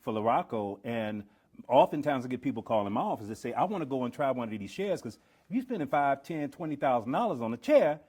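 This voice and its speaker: A man's voice: medium pitch, sing-song, quick pace, a little mellow. A man hosting a podcast interview, encouraging his guest and asking long, wordy questions. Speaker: podcast host.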